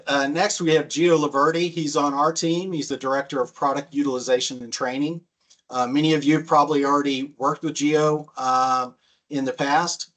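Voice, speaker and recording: A man hosting a business webinar, introducing the presenters in a brisk, friendly way with frequent "um" fillers. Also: fairly high pitch, fairly bright tone. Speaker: webinar host.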